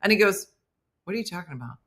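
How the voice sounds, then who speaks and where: A woman's voice: medium pitch, fast talker. A middle-aged woman hosting a comedy show in casual, teasing banter, often asking playful questions. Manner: animated, teasing, inquisitive. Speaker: comedy morning show host.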